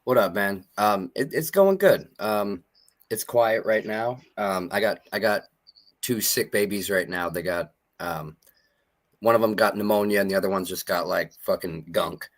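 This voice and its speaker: A man from Maine in his thirties, speaking as a guest in a casual interview. He rambles in a laid-back way and swears freely. His voice sits low. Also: moderate pace. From Maine, USA.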